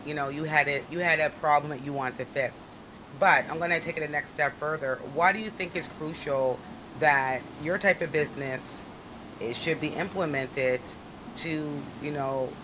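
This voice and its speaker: A woman's voice: deep voice, moderate pace, noisy background. A woman hosting a podcast, chatting with a guest in a bubbly, effusive and playful way. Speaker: podcast host. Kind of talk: casual interview chat.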